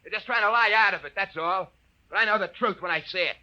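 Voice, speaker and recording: Shrill, jealous spaceman villain. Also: fast talker, pushed and bright, dull audio. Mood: bitter anger. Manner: sneering, menacing, taunting. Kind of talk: 1950s radio drama acting.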